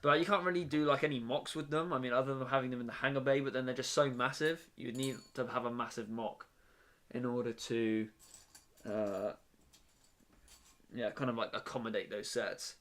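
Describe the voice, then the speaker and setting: A man's voice: mid-range voice, steady tone, normal speed, fairly bright tone. Rambling young man streaming. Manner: rambling, chatty, many fillers and restarts. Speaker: live streamer building a brick set. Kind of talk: casual live-stream chat. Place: bedroom.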